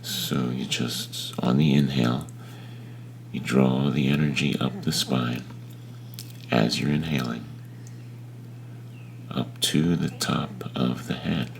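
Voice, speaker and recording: A man leading a guided meditation in a gentle, unhurried manner with long pauses between phrases. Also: very deep voice, steady tone, vocal fry, a little hiss or hum. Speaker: meditation teacher.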